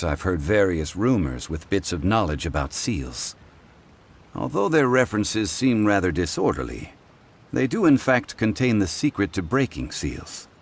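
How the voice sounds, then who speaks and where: A deep, calm, scholarly consultant. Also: male voice, full character voice. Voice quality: measured, formal and deliberate. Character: calm ancient male consultant, scholarly, composed. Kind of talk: video game voice acting. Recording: game score playing faintly.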